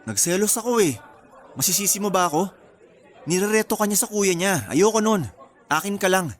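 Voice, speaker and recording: A man's voice: high voice, expressive, a little fast, a crowd in the background. A man playing a jealous, possessive groom-to-be with a curt, challenging manner in a radio drama. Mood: irritated, jealous.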